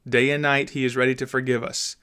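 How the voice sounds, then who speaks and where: A man voicing an indignant father and gentleman in an audiobook, speaking in a solemn, emphatic, oratorical way. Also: medium pitch, flat delivery, quick pace, clean tone, close to an everyday voice. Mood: solemn, pleading. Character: indignant father, stern gentleman addressing an assembly. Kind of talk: dramatised audiobook reading.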